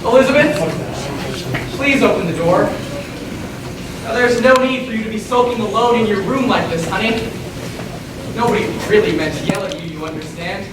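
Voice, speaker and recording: A very high-voiced, folksy father coaxes and apologizes on stage, played by a man. He sounds distant in a strongly echoing hall, with clear noise around him. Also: normal speed, pauses often, natural voice. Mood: coaxing, apologetic. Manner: coaxing, folksy. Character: coaxing, apologetic small-town father of grown daughter. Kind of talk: stage play acting. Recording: echoey hall from far off, noisy.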